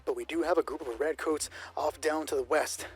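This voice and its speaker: Man doing a quiet, measured soldier on radio. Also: on the high side, fairly even intonation, slightly rough. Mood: calm, guarded. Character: calm male squad mate reporting over radio. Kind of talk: acted dialogue in tabletop roleplay.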